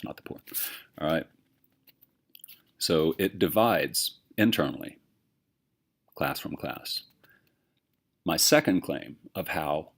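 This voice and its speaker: A man teaching a class, lecturing with emphatic rhetorical questions. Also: sits low.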